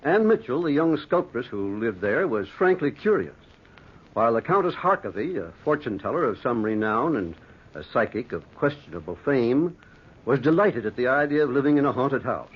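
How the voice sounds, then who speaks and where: A composed, wry doctor from vintage radio drama. His voice is husky and mid-pitched, his delivery measured and skeptical. The recording is dull and lo-fi. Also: very animated. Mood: storytelling. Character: skeptical, composed doctor narrating a ghost story. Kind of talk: old-time radio drama narration and acting.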